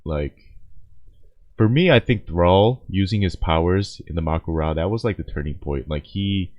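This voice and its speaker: A man giving casual, off-the-cuff reaction commentary, halting and rambling as he thinks aloud. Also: deep voice. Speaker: video creator reacting to a game cinematic. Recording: dull, roomy, echoey home recording.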